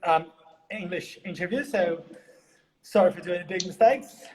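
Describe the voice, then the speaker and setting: A man with a Brazilian Portuguese accent hosts a live online interview, stumbling through with frequent fillers and restarts. His voice sits somewhat high, and it rings in a reverberant room with faint background noise. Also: measured pace. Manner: hesitant, many fillers and restarts.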